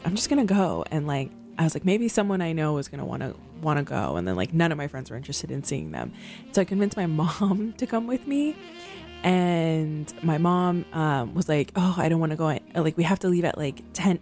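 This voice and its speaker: A woman's voice: low-pitched, a little mellow. A woman in her twenties hosting a radio music show, chatting casually between songs with many ums and likes. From San Francisco. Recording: faint music behind.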